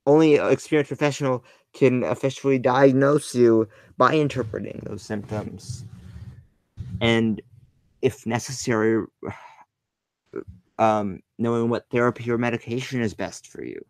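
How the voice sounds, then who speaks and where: A man hosting his channel, pausing a clip to rebut it in skeptical casual commentary. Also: mid-range voice, darkish tone, no echo, low-quality recording. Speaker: channel host reacting to a video.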